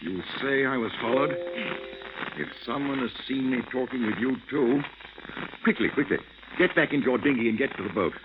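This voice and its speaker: An actor playing an older English scientist held captive, formal and urgently dramatic, in old-time radio adventure style. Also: male voice, mid-range voice, normal speed, close to an everyday voice. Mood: alarmed. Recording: tinny, noisy old radio recording.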